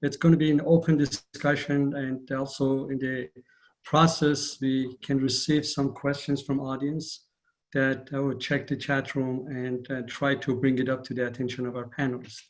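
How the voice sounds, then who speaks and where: A man's voice: mid-range voice, level tone, normal speed. A man moderating a virtual panel, speaking carefully and formally while losing his voice.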